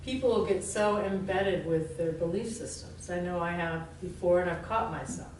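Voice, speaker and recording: A woman's voice: fairly low pitch, moderate pace, darkish tone, slight background noise. Woman giving an echoey talk from afar. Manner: conversational, self-deprecating, laughs often. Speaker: spiritual teacher. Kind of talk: informal dharma talk to a group. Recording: echoey room, voice from far off.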